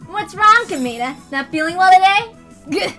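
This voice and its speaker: A woman's voice: very high-pitched, animated, a little slow, bright, forceful tone, faint music behind, pitched above the performer's own voice, heavily acted. A woman voicing a cocky, taunting teenage boy batter in a story reading, with sneering bravado.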